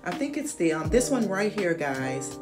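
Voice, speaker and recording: A woman's voice: on the low side, sing-song, moderate pace, music in the background. A woman in her fifties chatting folksily to viewers on a handheld phone while shopping and sitting in her car. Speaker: vlogger. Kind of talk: casual shopping vlog. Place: store aisles and parked car. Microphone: handheld phone camera.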